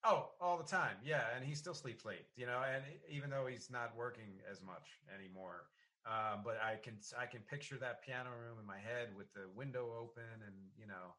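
Casual male podcast host, medium pitch. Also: moderate pace, bright, forceful tone. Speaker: podcast host interviewing a friend. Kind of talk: casual interview chat.

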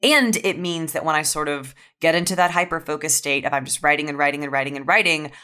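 A woman's voice: low voice, fast, bright, forceful tone. A woman speaking as a writer on a podcast panel, explaining her process casually in an organized, step-by-step way. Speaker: audio fiction writer, podcast guest.

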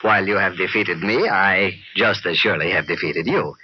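Man acting a menacing Latin gentleman villain, low voice, mock-polite, deliberate, 1950s radio drama, hissy old recording. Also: highs cut off, natural voice. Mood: smooth menace. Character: suave, menacing Latin villain, courteous and boastful.

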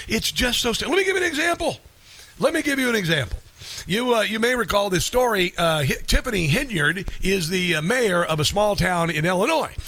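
Male talk radio host, indignant ranting monologue, higher voice, dead-dry studio mic. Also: dry recording. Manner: animated, indignant, scoffing.

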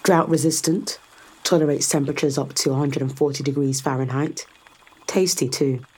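A woman's voice: very deep voice, steady tone, moderate pace, darkish tone, slightly rough, poor audio. An actress playing a confident, sardonic woman in a science fiction audio drama, explaining things to a skeptical visitor with assured, dryly teasing delivery. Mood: confident, coaxing.

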